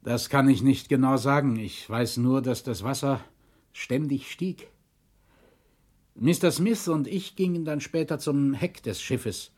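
An actor playing a colonel and historian who survived a shipwreck, in a documentary radio play. He has a mid-pitched male voice and testifies soberly and formally. His delivery is measured, that of a shaken man holding himself together. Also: darkish tone, close to an everyday voice. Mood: shaken, composed.

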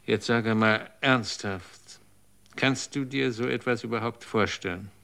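A man's voice: mid-range voice, unhurried, gravelly, sharp tone. An actor in a radio drama playing a man who asks probing, incredulous questions. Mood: horrified.

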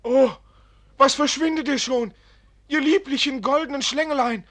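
A lovesick young student in a radio fairy tale. The voice is very high and sharp-edged, full of breathless, rapturous pleading. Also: male voice, moderate pace.

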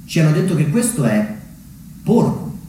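A man from Umbria in Italy performing a reflective theatrical monologue as a solo storyteller, measured and pausing for weight. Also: on the high side, sing-song, warm tone, a little hiss or hum. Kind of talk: theatrical monologue, narrated memoir. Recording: very echoey, distant mic, music underneath.

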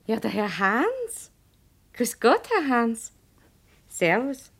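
An actress playing a placid young tavern maid with a Viennese accent in a radio play. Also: female voice, on the high side, huge pitch swings, slow, exaggerated voice. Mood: friendly.

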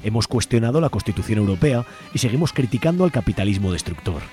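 A man's voice: fairly low pitch, sing-song, fast talker, mellow. A man with an accent from Spain reading scripted narration as co-host of a radio show. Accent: Spain Spanish. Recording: music bed behind the voice. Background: music.

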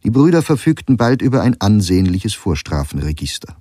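A man narrating a scripted documentary as a storyteller, measured and factual. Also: on the low side, very animated, warm tone, slightly rough, no room sound, studio quality. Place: dry studio.

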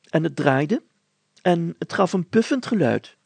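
Thoughtful storybook grocer, played by a male narrator: earnest, deliberate, rough-edged and pitched up. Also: animated, darkish tone, dry recording, heavily acted. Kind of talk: children's story character voice.

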